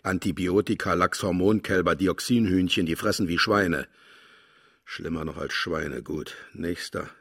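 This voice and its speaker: Grumpy aging bureaucrat for radio drama, lowish husky voice, curt, vain, disdainful. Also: male voice, measured pace, a bit bright, exaggerated voice. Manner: curt, muttering, disdainful, indignant when challenged. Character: grumpy, vain, aging male official sorting human candidates. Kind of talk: German radio play acting.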